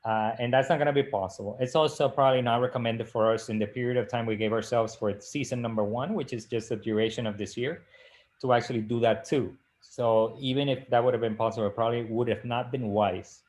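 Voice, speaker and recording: A middle-aged man from the Dominican Republic talks over a video call in a middle pitch. He keeps stopping to fill gaps with "uh" and restart his sentences, as a co-host in a relaxed discussion. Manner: halting, many "uh" fillers and restarts. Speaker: librarian and co-host. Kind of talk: casual live-stream discussion.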